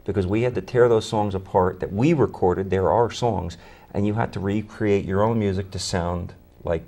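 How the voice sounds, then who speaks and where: A man with a low voice talks eagerly about his tribute band, the bass player of the group. He often repeats words as he gets going, and his enthusiasm carries through. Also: fairly even intonation, moderate pace, warm tone. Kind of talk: interview conversation.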